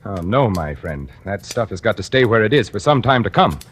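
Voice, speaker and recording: A refined, condescending painter with a dark secret, in vintage radio suspense style. His delivery is deliberate and theatrical, with prominent music behind it on an old broadcast recording. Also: lowish voice, very animated, slightly rough. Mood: coolly controlling. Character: cultured, scheming male painter covering up a murder. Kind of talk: 1940s radio drama acting, first-person suspense narration.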